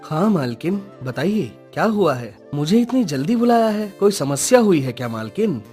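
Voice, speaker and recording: पुरुष की आवाज़ में हड़बड़ी वाला गाँव का नौकर. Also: male voice, on the high side, sing-song, normal speed, darkish tone, faint music behind. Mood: obedient. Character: obedient, hurried male servant of a village landlord. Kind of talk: cartoon moral story acting.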